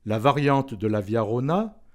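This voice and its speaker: A man's voice: mid-range voice, expressive, unhurried, darkish tone. A man reading formal, scripted narration for an institutional documentary.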